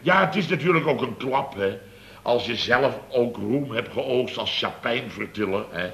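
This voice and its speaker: A man's voice: mid-range voice, expressive, measured pace, gravelly, a bit bright, a little hiss or hum. An actor playing a blustering, exasperated boss of a building firm in a radio comedy, exclaiming and repeating himself as he tells his tales. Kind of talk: Dutch radio comedy acting, anecdote telling.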